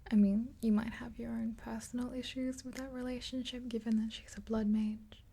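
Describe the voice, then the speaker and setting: Female, twenties, for whispered ASMR. Soft, breathy and dark-toned, on the higher side, speaking intimately close to the mic. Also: flat delivery. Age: 20s. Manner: soft, gentle, unhurried. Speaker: ASMR video creator. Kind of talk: ASMR roleplay, whispered makeover with rambling chat. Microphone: close to the mic, facing camera.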